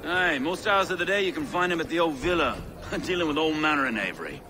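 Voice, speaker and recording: An actor playing an earnest, courteous medieval English reeve in a video game, with old-fashioned polite phrasing. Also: male voice, a little high, lively intonation, fast, background noise, lightly acted. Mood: friendly. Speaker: sheriff. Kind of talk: dramatic video game cutscene acting.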